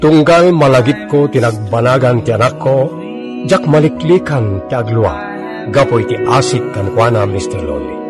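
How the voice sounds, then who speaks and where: A man's voice: medium pitch, animated, moderate pace, loud music behind the voice, close to an everyday voice. A man playing an earnest, troubled, strict middle-aged father in an emotional radio drama. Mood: regretful. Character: troubled, pleading strict father of a wayward daughter.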